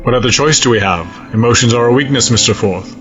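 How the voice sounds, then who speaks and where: A man playing a cold, resolute alien space-fleet admiral in a sci-fi audio drama, speaking in formal, measured, stiff diction. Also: medium pitch, dull audio, music in the background, lightly acted. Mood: grave, resolute.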